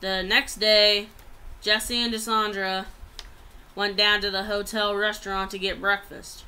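A woman's voice: medium pitch, little pitch movement, a little slow, pushed and bright, clean tone. A young adult woman reads a written story aloud plainly, stumbling over some words, in a small room at home on a laptop microphone. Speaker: amateur writer reading own story.

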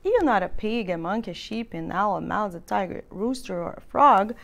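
A middle-aged woman reading a children's picture book aloud, expressive and deliberate, as if for small children. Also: medium pitch, gravelly. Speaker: children's librarian, storytime reader. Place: library room with bookshelves.

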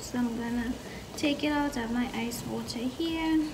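A young Trinidadian woman with a fairly high voice talks casually through her cooking, as a vlogger does. She is recorded a little away from the microphone in a home kitchen, with clear noise behind her. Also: moderate pace. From Trinidad and Tobago. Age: 20s. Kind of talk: casual cooking vlog narration. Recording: noisy kitchen, distant microphone.

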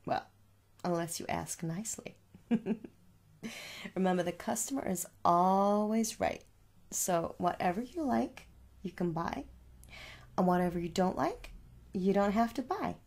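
A woman in her thirties doing a soft-spoken ASMR shop roleplay, gently reassuring and repeating words for effect. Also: medium pitch, expressive, measured pace, pauses often. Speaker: ASMR performer playing a shop assistant. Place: small room indoors.

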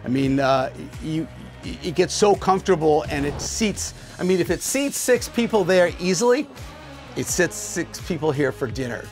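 Middle-aged male host, upbeat casual boat-tour delivery, a bit high, faint music under. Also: lively intonation, moderate pace. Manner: enthusiastic, talks while pointing and moving. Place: aboard a sailboat in a marina, on deck and below.

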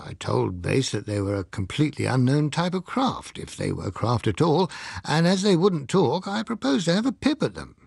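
Military pilot, acted by a male reader, husky, guarded and matter-of-fact, animated delivery for an audiobook. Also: a little high, moderate pace, no room sound, studio quality, heavily acted. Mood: guarded, earnest. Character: candid military pilot recounting a strange encounter. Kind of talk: audiobook character dialogue.

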